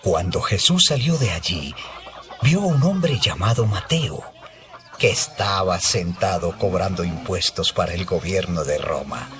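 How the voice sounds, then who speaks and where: A man's voice: sits low, lively intonation, unhurried. A man narrating a dramatized scripture reading as a scripted storyteller. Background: music.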